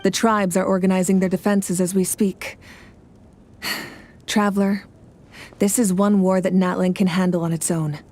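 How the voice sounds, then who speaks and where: A woman playing a composed, regal female war leader in a video game, measured, formal and reassuring. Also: medium pitch, level tone, a little mellow, close to an everyday voice. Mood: grave, resolute. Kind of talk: video game dialogue acting.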